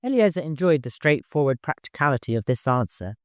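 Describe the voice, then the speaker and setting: A man's voice: fairly high pitch, sing-song, brisk, no echo, studio quality. A man reading a story aloud as scripted audiobook narration.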